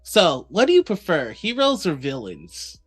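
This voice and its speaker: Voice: medium pitch, huge pitch swings, measured pace, breathy edge, faint music behind. A show host interviewing a guest on a live stream, enthusiastic and stumbling over restarts.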